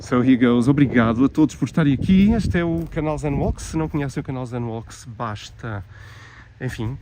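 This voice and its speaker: A man from Portugal with a Portuguese accent, chatting casually and a little haltingly as he hosts a live walking tour. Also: on the high side. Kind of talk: casual live vlog chat. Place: outdoors, walking through a village. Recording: clear outdoor ambience and traffic noise.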